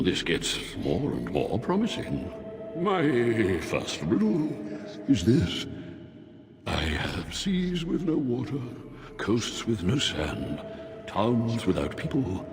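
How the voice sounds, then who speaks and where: An actor playing a bored, condescending demon of sloth in a fantasy video game, with a languid, drawn-out delivery. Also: male voice, mid-range voice, heavily acted. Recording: long reverb, music underneath.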